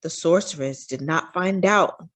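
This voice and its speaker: A woman's voice: on the low side, a little slow, fairly bright tone. A woman reading a children's storybook aloud as narrator, phrasing for young listeners with dramatic emphasis.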